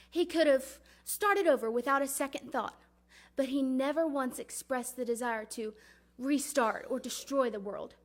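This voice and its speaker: A woman performing a dramatic religious monologue as a grieving, devout mother recalling her son, speaking earnestly and deliberately. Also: sits high, lightly acted. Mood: pained, earnest. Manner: earnest, reverent, deliberate storytelling.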